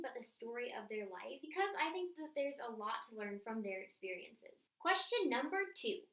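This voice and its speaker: High-voiced woman, echoey distant mic. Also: fairly bright tone. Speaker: book vlogger hosting her channel. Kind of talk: scripted-sounding book tag chat to camera. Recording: roomy echo, distant microphone.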